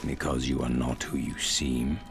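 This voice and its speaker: An actor playing a stern, resolute warrior in a fantasy video game, speaking bluntly and deliberately. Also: male voice, level tone. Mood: questioning. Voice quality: very deep, gravelly. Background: music.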